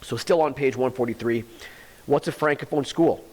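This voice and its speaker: A man's voice: mid-range voice, normal speed, a little mellow, low-quality recording. A man, a teacher reading a textbook aloud to students and explaining it plainly, repeating the key points.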